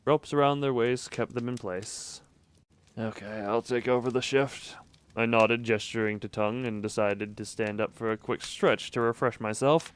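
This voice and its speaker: A man's voice: medium pitch, steady tone, normal speed, a little hiss or hum. A man streaming a visual novel, reading the narration aloud and breaking off for casual asides and small laughs. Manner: reads narration evenly, breaks off for chuckling asides.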